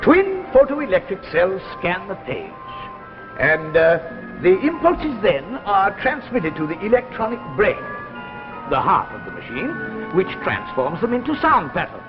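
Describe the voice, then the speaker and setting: Theatrical, pompous old professor, very animated. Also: male voice, high-pitched, unhurried, over music, exaggerated voice. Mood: smug. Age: older. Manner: pompous, theatrical, savoring phrases. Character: retired physics professor, older man, smug eccentric inventor. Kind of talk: 1950s radio drama acting. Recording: dull old radio recording, long reverb.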